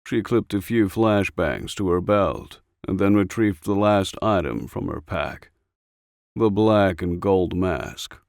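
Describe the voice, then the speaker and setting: Low, creaky-voiced male audiobook narrator. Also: expressive, takes it slowly, darkish tone, dry recording, clean studio sound. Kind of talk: scripted story narration.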